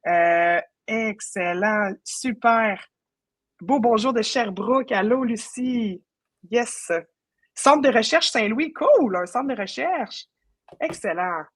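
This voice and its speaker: A woman's voice: on the high side, slow, no room sound. Casual Quebec French woman hosting online, dry sound. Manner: chatty, informal, interjects English words. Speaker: host of live online training session; web marketing professional. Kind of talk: casual live webinar chat.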